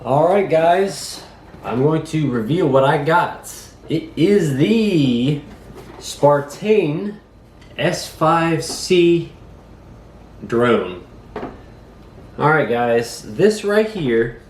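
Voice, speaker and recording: A man's voice: medium pitch, reverberant, a little hiss or hum. A man recovering from a cold, hosting a casual drone review from his kitchen table in a folksy, chatty way with lots of fillers. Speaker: host of a drone review channel.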